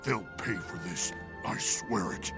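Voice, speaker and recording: An actor playing a battle-hardened, vengeful crusader in a fantasy video game, blunt and emphatic, with a gravelly voice. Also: male voice, deep voice, animated, takes it slowly, full character voice. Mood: angry, grieving. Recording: game audio under clear music.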